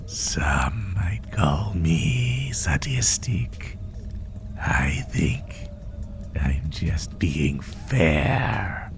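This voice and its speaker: A man voicing a sadistic spider monster in a horror roleplay, taunting the listener with menace in a breathy, gravelly half-whisper. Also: very deep voice, full character voice. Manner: slow, taunting, menacing, drawn-out phrases. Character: sadistic male spider monster hunting prey through the woods.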